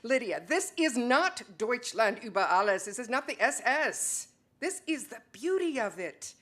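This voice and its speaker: A woman's voice: a little high, sing-song, measured pace, fairly bright tone, full character voice. A woman playing an intense, defiant wife who translates poetry, in an impassioned dramatic stage reading.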